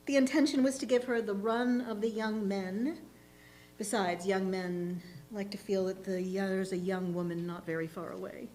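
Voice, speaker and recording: A woman reading a literary short story aloud in a deliberate, expressive narrating style. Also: medium pitch, low-quality recording. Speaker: reader in a readers' theatre. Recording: faint background noise and hum.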